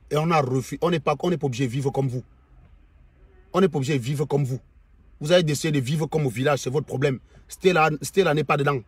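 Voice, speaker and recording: A man's voice: fairly high pitch, slightly rough, no room sound. A middle-aged man with a Cameroonian accent, delivering an opinionated live monologue to online followers in a mocking, combative manner, repeating phrases for emphasis. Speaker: livestream host.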